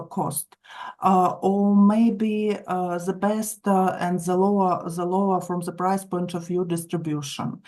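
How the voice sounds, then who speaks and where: A woman with a Ukrainian accent gives an explanatory online lesson. Her delivery is hesitant and broken up by many fillers, with a mid-range pitch. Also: a little mellow. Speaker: marketing instructor. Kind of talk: online training session, explanatory lecture.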